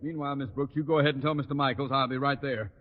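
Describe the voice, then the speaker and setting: An actor playing a pompous, flustered, middle-aged school principal in a 1940s radio sitcom, with blustering, formal, theatrical delivery. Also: male voice, mid-range voice, steady tone, racing, big-room sound, lightly acted. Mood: grumpy. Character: pompous, flustered, easily exasperated older male school principal. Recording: muffled, noisy 1940s radio recording.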